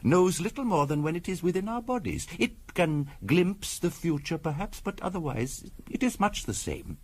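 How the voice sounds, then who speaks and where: An actor playing a solemn, courteous mystic in a radio drama, speaking in a measured, formal, portentous storytelling manner. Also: male voice, high-pitched, big pitch swings, full character voice. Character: solemn, courteous male mystic recounting a family curse. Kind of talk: 1970s radio drama acting.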